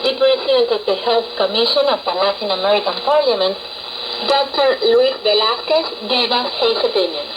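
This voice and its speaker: Very noisy shortwave reception carries a woman's fairly high voice. She is a radio reporter reading a scripted news report. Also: moderate pace.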